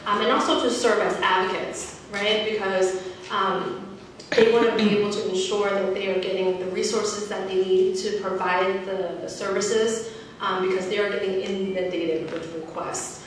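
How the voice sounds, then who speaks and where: A woman giving an informal public presentation as a panel speaker, often checking in with her listeners with a quick "right?". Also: medium pitch, little pitch movement. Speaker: county community liaison, panel speaker. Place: indoor panel event before an audience. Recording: very echoey room, distant mic, faint noise.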